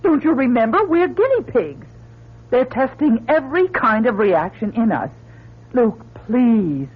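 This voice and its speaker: A woman's voice: a little high, big pitch swings, unhurried, husky, heavily acted. An actress playing a spirited female astronaut, pleading yet firm, in emotive radio drama style. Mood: urgent pleading. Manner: emotive, urgent. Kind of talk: melodramatic radio drama acting. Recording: muffled, lo-fi old radio recording.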